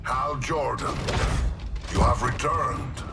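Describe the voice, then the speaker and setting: A man's voice: mid-range voice, steady tone, slow, creaky, over loud music. A man voicing a cold, vengeful villain in a video game, pompous and threatening. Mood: menacing, contemptuous. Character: menacing, vengeful male villain, haughty and cold. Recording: distant voice under loud score.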